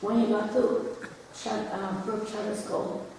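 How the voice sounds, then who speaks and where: A hoarse woman, a parent losing her voice, testifying apologetically but heartfelt at a hearing. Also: mid-range voice, flat delivery, unhurried, darkish tone, a little hiss or hum. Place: large hall, indoors. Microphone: public microphone. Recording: echoey hall from far off.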